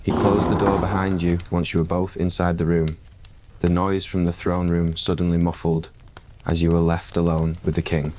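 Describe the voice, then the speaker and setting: A man with a very deep voice reading a scripted ASMR story. His delivery is calm and even, with a fairly flat intonation. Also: very noisy. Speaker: narrator.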